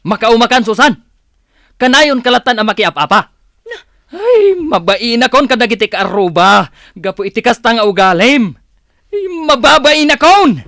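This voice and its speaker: A man's voice: extremely high pitch, sing-song, moderate pace, sharp tone, no echo, studio quality, exaggerated voice. A man playing a fed-up, embarrassed husband, plaintive and exasperated, in a Philippine radio drama. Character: fed-up, embarrassed husband complaining about his quarrelsome wife.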